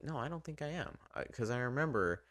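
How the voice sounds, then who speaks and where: A man's voice: medium pitch, expressive, a little fast, a bit bright, no room sound. A man giving casual, unscripted video game commentary in an informal, hesitant, self-deprecating way. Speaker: video game reviewer and channel host.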